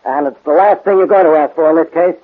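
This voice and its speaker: A man playing a gruff, grudging, skeptical police inspector in a 1940s radio crime drama, blustery and sardonic. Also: on the high side, fast talker, lightly acted. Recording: muffled narrow-band vintage 1940s radio recording.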